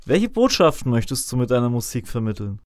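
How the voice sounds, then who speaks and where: Interviewer guy, polite and measured, smooth higher voice, dry sound. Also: big pitch swings, no echo. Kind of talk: formal interview questions.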